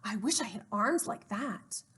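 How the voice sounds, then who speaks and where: A woman's voice: medium pitch, expressive, unhurried, fairly bright tone. A woman voicing an eager, loving little young male hare in an earnest, childlike way for a children's storybook. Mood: earnest, wistful. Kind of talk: children's storybook read-aloud.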